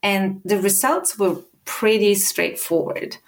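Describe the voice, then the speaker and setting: A woman from Austria in her thirties, a guest telling her own story in a measured, halting way with small restarts. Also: mid-range voice. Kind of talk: personal account in an interview.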